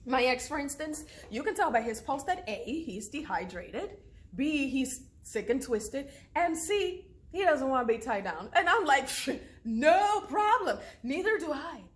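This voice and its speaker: An actress plays a frantic, jilted woman in her thirties with a fairly high voice. She is wry and desperate, firing through a repetitive back-and-forth in a comic-dramatic stage monologue. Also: very animated, unhurried. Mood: wry, scornful.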